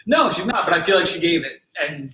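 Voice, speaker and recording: A man chiming in on a podcast with quick, wry remarks. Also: a little high, lively intonation, pushed and bright, roomy sound, far from the mic, slight background noise. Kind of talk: casual podcast banter.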